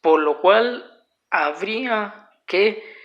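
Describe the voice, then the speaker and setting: A man, not a native speaker, giving a halting, unscripted talk at home that keeps circling back to restate its points. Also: sits high, expressive. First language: a language other than Spanish. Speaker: video lecturer. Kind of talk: unscripted explanatory monologue. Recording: dull lo-fi home recording.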